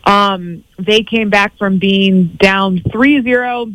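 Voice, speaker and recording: A woman's voice: medium pitch, a bit bright, dry recording, rough recording. A young woman acting as the show's sports correspondent, teasing the host and recapping games with lots of "um"s. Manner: teasing, quick recaps with many fillers. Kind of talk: casual sports chat, teasing.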